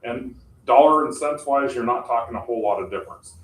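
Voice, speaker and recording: A man explaining tech options to a city council, halting and full of fillers and restarts. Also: mid-range voice, slight background noise. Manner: says he has a loud voice. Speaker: tech presenter at council meeting. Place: council meeting room. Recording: echoey room, far from mic.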